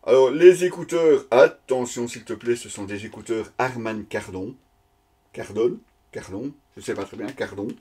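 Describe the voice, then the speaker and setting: A man's voice: mid-range voice, moderate pace, warm tone, breathy edge. A man giving a casual, improvised product unboxing commentary, speaking conversationally and hesitantly as he searches for words. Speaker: product reviewer presenting an unboxing.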